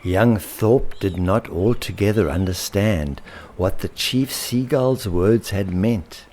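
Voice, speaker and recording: Male storyteller, low and unhurried, dramatic delivery of rhyming children's tale, slight ambient noise behind. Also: a little mellow. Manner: theatrical, deliberate, dramatic emphasis. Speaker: author and storyteller.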